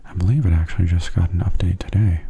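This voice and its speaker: A man giving soft-spoken ASMR commentary on a game in a gentle, unhurried, casual way, his hushed voice often slipping into whispers. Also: low voice, fairly even intonation, gravelly, breathy edge. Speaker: gameplay video host.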